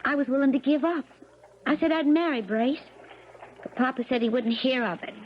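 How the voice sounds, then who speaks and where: An actress as an earnest young preacher's daughter with a very high voice. She speaks in a folksy, pleading country way, in an old radio drama with a dull, slightly noisy sound. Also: moderate pace, close to an everyday voice. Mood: worried, sorrowful. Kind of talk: 1970s radio drama acting.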